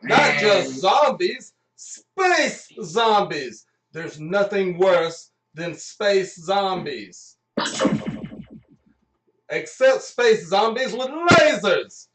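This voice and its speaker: A man's voice: high voice, very animated, drawn-out words, distant mic, background noise, pitched above the performer's own voice, full character voice. Man doing a melodramatic, echoey space captain. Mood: grave, dramatic. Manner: melodramatic, emphatic. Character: bombastic space patrol captain, man, fighting zombies. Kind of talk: live radio play acting. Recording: echoey room, off the mic.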